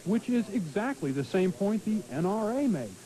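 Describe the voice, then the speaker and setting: A man's voice: high-pitched, very animated, normal speed, warm tone, poor audio. An American man delivering scripted broadcast commentary on a hissy late-eighties television tape. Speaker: news commentator.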